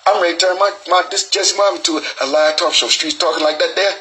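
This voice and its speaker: Forties male host, slightly higher pitch, rambling streetwise sermon-style talk from a small booth. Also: fairly even intonation, fast talker, sharp tone, faint music behind. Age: 40s. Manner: rambling, profane, preachy with restarts and stutters. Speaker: show host. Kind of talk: freewheeling street monologue.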